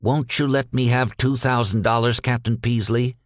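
A man's voice: medium pitch, steady tone, normal speed, no room sound, clean studio sound, natural voice. A man voicing a dogged, weary errand man in an audiobook, earnest and respectful, pleading his case with polite insistence. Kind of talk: audiobook character dialogue.

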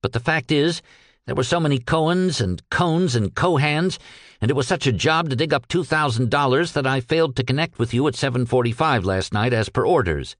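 A man's voice: medium pitch, fairly bright tone, no room sound, studio quality, pitched above the performer's own voice. A man voicing a dogged, weary errand man in an audiobook, earnest and respectful, pleading his case with polite insistence. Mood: apologetic, dutiful. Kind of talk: audiobook character dialogue.

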